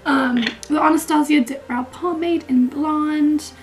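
A high-voiced young woman chats in a casual, gushing way to camera in a small bedroom. Her throat is a bit raspy from a recent illness, and she slips into soft whispers mid-sentence while working. Faint music sits underneath. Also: fairly even intonation, measured pace. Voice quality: raspy, throat not clear. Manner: chatty, gushing, drops to whispers while doing makeup. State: recovering from illness, clearing throat. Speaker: beauty vlogger. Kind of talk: casual vlog chat. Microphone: camera mic, close framing.

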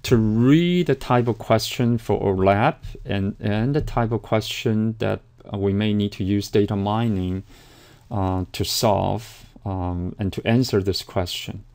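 Man, lecturer, low pitch, hesitant with fillers. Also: unhurried, darkish tone. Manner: hesitant, many fillers and restarts. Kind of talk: recorded slide lecture.

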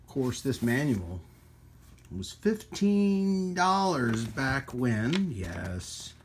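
A man hosting a hobby radio channel in a casual, rambling hands-on demonstration, with folksy trailing asides and a husky voice. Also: medium pitch, big pitch swings, drawn-out words, a little hiss or hum. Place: indoors at a radio workbench.

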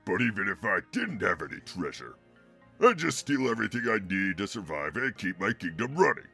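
A man's voice: fairly low pitch, sing-song, brisk, sharp tone, soft background music, full character voice. A man voicing a grumpy, boastful monster turtle king in a growling, sneering cartoon voice. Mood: smug, boastful. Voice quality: gravelly, growling. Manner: sneering, mocking, blustering. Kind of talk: cartoon character fan dub.

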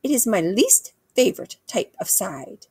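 A woman's voice: medium pitch, sing-song, unhurried, a little mellow, husky, no room sound, studio quality, pitched above the performer's own voice, heavily acted. A woman voicing a haughty, pedantic older English queen in a storybook, with a pompous, formal way of talking. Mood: lecturing. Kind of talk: children's storybook character voice.

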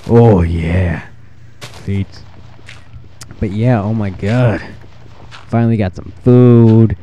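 A fourteen-year-old boy with a freshly deepened voice, rambling and self-deprecating while giving casual commentary over his gameplay. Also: slow, mellow, dull audio, slight background noise. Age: 14, teenage boy with newly deepened voice. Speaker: gaming channel host.

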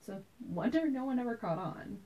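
A woman performing a theatrical monologue as a wistful, anxious woman recalling tense family dinners from her childhood, told deliberately with dramatic pauses. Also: on the high side, expressive, dull audio, pitched above the performer's own voice. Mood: wistful, uneasy.

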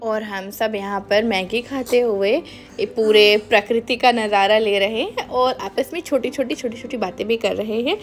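A woman in her thirties narrating a family trip in a calm, unhurried, explanatory voice-over. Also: a little high, faint music behind.

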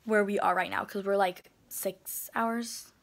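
A woman's voice: mid-range voice, steady tone, a little slow. A teenage girl chatting casually for her travel vlog, rambling with lots of "like" and "um", filmed on a phone at arm's length in a hotel room. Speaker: vlogger. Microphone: phone camera held at arm's length.

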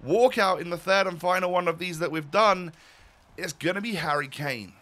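A man, croaky today, chatting wryly through a football game pack opening from his home room. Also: high-pitched, normal speed, bright, forceful tone. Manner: chatty, wry, with fillers and restarts. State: croaky, voice going. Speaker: gaming YouTuber. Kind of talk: casual pack-opening commentary.

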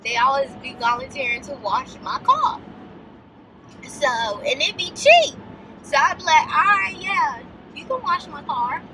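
High, lively young American woman. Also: unhurried. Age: 20s. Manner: animated, slangy, giggly. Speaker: vlogger's friend and passenger. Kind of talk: casual chat. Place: inside a moving car. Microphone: dashboard camera. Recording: noisy moving car, distant mic.

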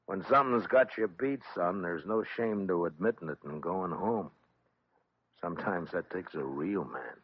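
An actor playing a calm, tough frontier marshal in a radio western, terse and dry, also narrating. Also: male voice, sits low, moderate pace, husky, dry recording. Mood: firm. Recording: muffled old radio broadcast.

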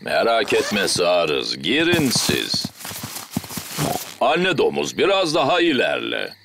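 A man's voice: lowish voice, slow pace, creaky, noisy background, heavily acted. A man voicing a big, jolly, easygoing father pig in a children's cartoon, hearty and a little boastful. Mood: cheerful. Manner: hearty, relaxed, theatrical. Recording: music behind the voice.

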